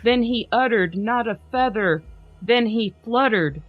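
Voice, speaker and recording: Slow-reading woman, dramatic poem. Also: fairly high pitch, no echo. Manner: measured, alternating lines with a co-reader. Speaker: podcast host. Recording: slightly muffled with faint music.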